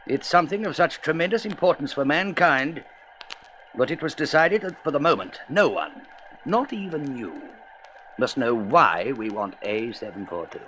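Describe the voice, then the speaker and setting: A man's voice: medium pitch, lively intonation, normal speed, pushed and bright, a little hiss or hum, low-quality recording. A man playing a courteous, grave president of a space council in a radio serial, formal and emphatic. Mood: grave urgency. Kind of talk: vintage science-fiction radio serial acting.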